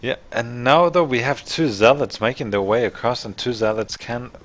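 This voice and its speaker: A man born in Germany casting a live strategy game, relaxed and conversational, thinking aloud with fillers. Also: medium pitch, normal speed. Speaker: esports caster.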